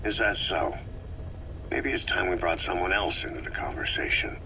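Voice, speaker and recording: An unimpressed, gruff interrogator from a military game drama. The voice is low, curt and dryly skeptical. Clear tape noise runs through the recording. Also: male voice, normal speed, bright, forceful tone. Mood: dry, dismissive. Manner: dry, sardonic, curt. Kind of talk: video game voice acting. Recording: noisy cassette tape recording.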